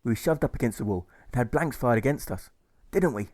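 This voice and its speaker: A man's voice: mid-range voice, expressive, brisk, a little mellow, no room sound, studio quality, lightly acted. A man voicing a learned, chatty schoolmaster in an audiobook, bookish and seeking agreement with tag questions. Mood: recounting.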